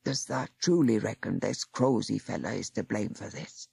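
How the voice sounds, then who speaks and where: Gruff old cave-dwelling creature, dialect, low and grumbling, storybook reading, a woman doing the voice. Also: lively intonation, moderate pace, warm tone, no room sound, clean studio sound, heavily acted. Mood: grave.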